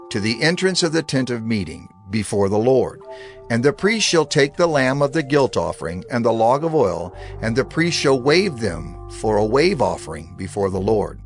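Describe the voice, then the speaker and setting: A male narrator reads scripture aloud in a steady, reverent tone. His mid-range voice moves through a lively, expressive melody. Soft music hums faintly in the background. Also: medium pitch.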